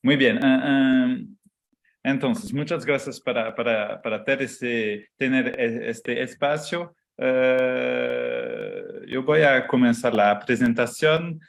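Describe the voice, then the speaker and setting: A man whose first language is Portuguese gives an online lecture over slides, speaking slowly and haltingly with many fillers. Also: medium pitch, lively intonation, vocal fry. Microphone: computer mic on a video call.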